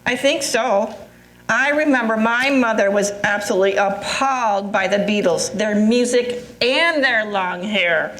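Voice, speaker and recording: A woman's voice: mid-range voice, unhurried, fairly bright tone, close to an everyday voice. An older woman playing a sympathetic, wryly reminiscing friend in an amateur readers theatre comedy, with conversational stage delivery. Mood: wry agreement. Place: indoor live readers theatre performance. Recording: roomy, echoing live stage pickup.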